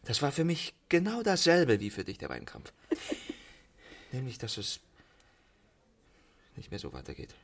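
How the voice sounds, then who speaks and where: Slow, brooding, jaded con man. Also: mid-range voice, wildly swooping pitch, gravelly, slightly rough, full character voice. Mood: weary, confessing. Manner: halting, brooding, broken phrases. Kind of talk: German radio play acting.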